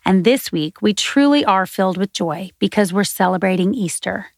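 A woman hosting a ministry podcast, reading scripted narration in an earnest, steady way. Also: mid-range voice, moderate pace, creaky, no echo, studio quality. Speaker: podcast host.

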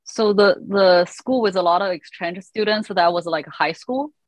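A woman's voice: medium pitch, normal speed, dry recording. A woman hosting a casual interview, asking curious, encouraging questions and giving short backchannels. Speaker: interviewer, host.

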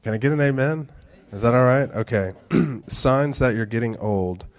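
A man in his thirties, a pastor giving a casual sermon with announcements and dad jokes, talking low, slow and fairly monotone with a stuffed-up nose. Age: 30s. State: stuffed-up nasal congestion.

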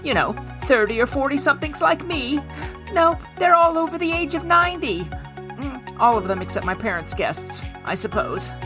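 A woman's voice: high-pitched, expressive, moderate pace, music in the background, voice pushed up. A woman voicing a chatty, exasperated woman in her thirties reading out her own letter, rambling and fussy, in an audio drama. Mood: flustered, gushing.

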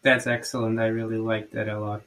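A man's voice: on the low side, level tone, brisk, a bit bright. Slovak-born man hosting a business interview. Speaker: interview host.

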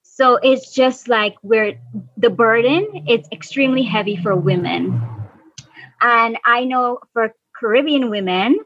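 A woman's voice: fairly high pitch, measured pace, a bit bright, no room sound, dull audio. A woman from Guyana in her thirties, an environmental officer answering interview questions earnestly, thinking aloud as she goes.

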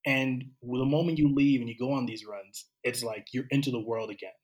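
A man from Cameroon hosting a conversation, talking in a thoughtful, unhurried way and often repeating words as he starts a sentence. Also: medium pitch, steady tone. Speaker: podcast host. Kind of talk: casual conversational interview.

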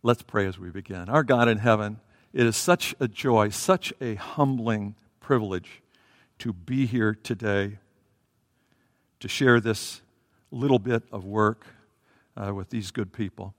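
A man's voice: on the low side, measured pace. A man preaching a sermon through a headset microphone, talking conversationally with fillers and restarts. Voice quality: self-described loud voice. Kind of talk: sermon with Bible reading and prayer. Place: church sanctuary. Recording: reverberant hall.

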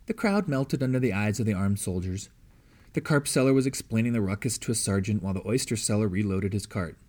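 A man's voice: fairly low pitch, lively intonation, brisk, darkish tone. A man reading a story aloud as scripted narration. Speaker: audiobook reader.

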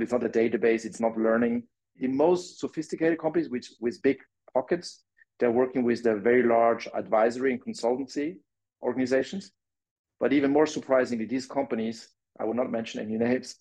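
A man's voice: medium pitch, a little mellow, poor audio. A man from Switzerland with a French-Swiss accent, a company founder explaining his business step by step as a podcast guest. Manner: explanatory, walks through points step by step, non-native phrasing.

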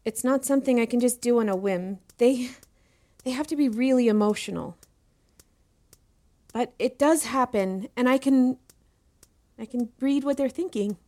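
A woman's voice: fairly high pitch, moderate pace, long pauses, dark tone, close to an everyday voice. An actress playing an eager, deferential young female student in an audio drama, speaking in an earnest, inquisitive way. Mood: hesitant, confiding. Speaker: voice actor in a fiction podcast. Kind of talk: acted audio drama dialogue.

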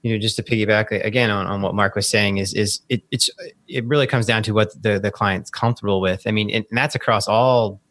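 A man's voice: lowish voice, fairly even intonation, fast talker, fairly bright tone. A younger man, a financial advisor, talking in a podcast chat and repeating words as he builds his point. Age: young adult. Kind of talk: podcast conversation.

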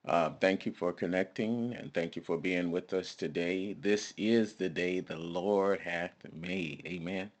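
A man preaching a devotional sermon, emphatic and deliberate, quoting scripture and putting rhetorical questions to listeners. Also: sits low.

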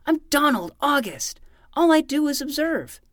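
A woman's voice: high-pitched, sing-song, unhurried, a bit bright, no echo, clean studio sound, exaggerated voice. A woman playing an earnest, self-important twelve-year-old boy detective in a fiction podcast, speaking in a formal, theatrical way.

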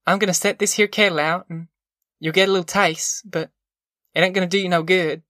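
Narrator putting on a worn farm mother, raised pitch, rural Southern American drawl, anxious, pleading, folksy, audiobook, dry recording. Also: moderate pace, a bit bright, dry recording, close to an everyday voice. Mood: helpless, pleading. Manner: plain-spoken folksy dialect, pleading.

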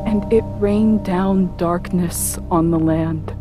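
An actress playing a woman survivor of an invasion, narrating poetically and deliberately in audio theatre. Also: on the low side, steady tone, music in the background. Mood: somber. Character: Izzy, woman survivor fleeing by boat, awed narrator. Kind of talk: dramatic first-person audio theatre narration.

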